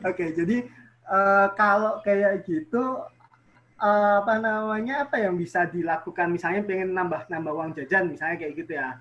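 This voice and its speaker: A man's voice: high voice, moderate pace, a bit bright. A man from Indonesia hosting a casual interview, friendly and full of fillers and repeated okays. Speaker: interview host. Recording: slightly dull lo-fi sound.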